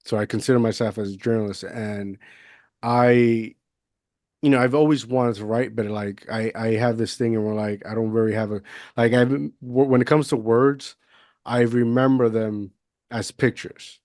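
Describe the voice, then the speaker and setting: A man's voice: mid-range voice, fairly even intonation, moderate pace, a little mellow, dry recording, studio quality. A man in his thirties from Puerto Rico, hosting a podcast interview in a loose, rambling way with many fillers and restarts. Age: 30s. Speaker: podcast host. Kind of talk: casual conversational interview. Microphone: budget USB mic, video call.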